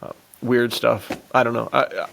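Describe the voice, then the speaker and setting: A man's voice: medium pitch, fairly even intonation, moderate pace, gravelly, a bit bright, slight background noise, poor audio. A man co-hosting a podcast, chatting casually about films in a laid-back, slangy, informal manner. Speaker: podcast co-host.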